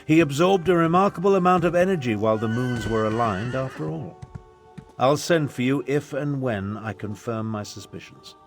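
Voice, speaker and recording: A man's voice: medium pitch, normal speed, natural voice. Guy doing a grumpy old wizard from a video game, pompous and dry, bit of music behind. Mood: brisk, grave. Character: crotchety, haughty aging human battle mage. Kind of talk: video game character dialogue.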